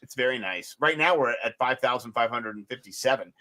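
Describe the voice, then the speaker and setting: A man with a raspy voice co-hosting a casual fantasy sports show, joking around and talking in loose restarts. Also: mid-range voice, brisk. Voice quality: raspy today. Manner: animated, jokey, rambling with restarts. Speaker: co-host.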